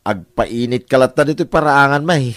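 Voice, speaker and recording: A man's voice: mid-range voice, normal speed, a bit bright, no echo, studio quality, natural voice. A man acting a lovestruck, flustered, stammering suitor in an Ilocano radio comedy drama, with an Ilocano accent. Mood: flustered, giddy.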